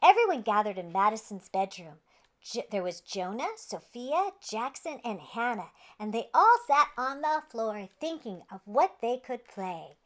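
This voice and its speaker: A woman's voice: fairly high pitch, very animated, unhurried. A woman hosting a children's read-aloud, narrating a picture book in a playful, exaggerated way aimed at young listeners. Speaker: children's storyteller and host.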